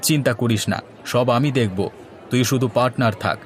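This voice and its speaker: A man's voice: mid-range voice, normal speed, a faint crowd, slight background noise, natural voice. A man as a confident, scheming cartoon villager. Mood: eager, persuasive. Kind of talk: comic Bengali cartoon dialogue. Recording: music behind the voice.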